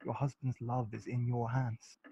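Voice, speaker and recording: An actor playing a witty, urbane English gentleman with a measured, articulate delivery in a radio play. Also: mid-range voice, little pitch movement, creaky, husky, dull audio. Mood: earnest persuading.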